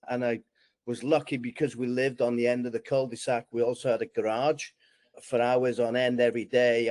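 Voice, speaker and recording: A Welsh-accented man in his sixties speaks as an interview guest at an even middle pitch. His telling is measured and unhurried. The sound is dry and close, with little room in it. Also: dry recording. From Wales. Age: 60s. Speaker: podcast guest. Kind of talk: relaxed interview storytelling.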